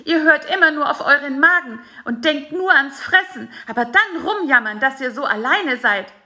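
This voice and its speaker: A woman voicing a small excitable male fly with high, shrill outbursts in a storybook reading. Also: full character voice. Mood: indignant. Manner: shrill, quick, squealing outbursts.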